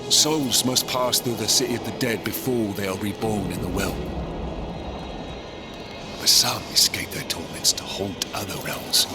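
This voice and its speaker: An actor playing a proud, bitter, battle-worn undead warrior in a video game, speaking in a dramatic, brooding way. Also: male voice, on the low side, measured pace, lightly acted. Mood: grave. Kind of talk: video game cutscene acting. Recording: loud cinematic music behind.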